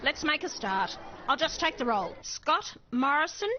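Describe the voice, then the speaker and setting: A woman's voice: high voice, lively intonation, moderate pace, noisy background. A woman voicing a stern, no-nonsense teacher-politician in a satirical cartoon. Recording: muffled cartoon soundtrack with loud background hubbub.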